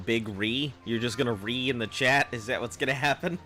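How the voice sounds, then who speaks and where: A man with a baritone voice chatting casually with viewers on a live game stream, in a loose, rambling way. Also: normal speed, bright, forceful tone, faint music behind. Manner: rambling, loose, chuckling at chat.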